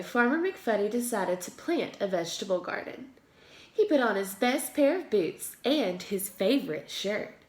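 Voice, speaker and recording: Female pre-K teacher, storybook read-aloud, highish voice, very animated intonation for young listeners. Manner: expressive, addressing young children.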